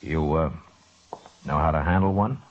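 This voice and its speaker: An actor playing a steady, stern U.S. marshal with dry humor and a laconic, firm manner in an old time radio western. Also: male voice, extremely low pitch, normal speed. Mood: dry, calm. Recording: muffled, faintly noisy old radio recording.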